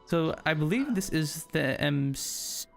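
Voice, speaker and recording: A man's voice: a little high, animated, a little slow, creaky. Man reading a game story aloud over music. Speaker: host reading a visual novel aloud. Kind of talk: casual playthrough with read-aloud story narration. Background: background game music.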